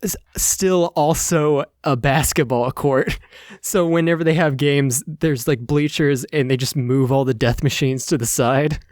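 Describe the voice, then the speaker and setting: Slow-talking male game master, casual and halting. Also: fairly high pitch, fairly even intonation, darkish tone, no echo, studio quality. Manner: halting, informal. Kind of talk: casual improvised tabletop game narration.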